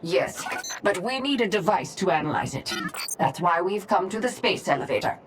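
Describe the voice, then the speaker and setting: A woman's voice: lowish voice, animated, moderate pace, close to an everyday voice. A woman playing a composed, polite but firm minder in a video game, speaking with control. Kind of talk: video game cutscene dialogue. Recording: clear noise behind the voice.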